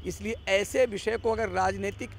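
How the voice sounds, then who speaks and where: A man's voice: high voice, steady tone, normal speed, vocal fry, slight background noise. A man giving political commentary as an interview guest, arguing emphatically with repeated phrases and rhetorical questions.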